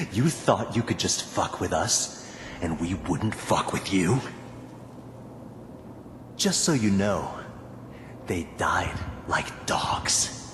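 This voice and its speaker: Very slow, menacing, gloating gangster. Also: male voice, sits low, big pitch swings, echoey room, noisy background, exaggerated voice. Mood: gloating menace. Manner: menacing, drawn-out taunting. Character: cruel, gloating gangster taking revenge.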